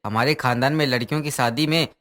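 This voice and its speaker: A man's voice: medium pitch, fairly even intonation, fast, a bit bright, close to an everyday voice. A man playing a polite, worried father of a bride in a story cartoon. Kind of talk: Hindi story cartoon dialogue. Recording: faint music under voice.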